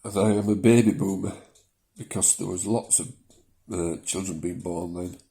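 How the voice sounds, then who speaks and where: A man in his seventies from South Yorkshire with a softened Yorkshire accent, talking casually and hesitantly into his phone in his living room. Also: low voice, fairly even intonation, moderate pace. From South Yorkshire, England. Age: 70s. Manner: rambling, hesitant, with many ums and small laughs. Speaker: vlogger. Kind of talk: casual personal vlog. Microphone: mobile phone.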